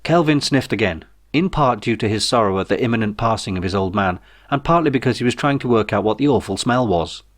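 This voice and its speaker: A man's voice: lowish voice, lively intonation, brisk, few pauses, no echo, studio quality. A man reading a comic novel aloud as its narrator, with a wry, theatrical delivery. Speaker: author reading his own novel. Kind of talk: comic scripted audiobook narration.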